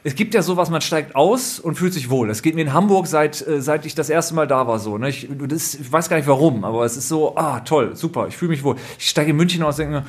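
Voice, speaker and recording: A man's voice: a little high, quick pace, hardly any pauses, breathy edge. A man hosting a casual talk show, chatty and jokey, with many fillers and restarts. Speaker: podcast host. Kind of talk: casual chat.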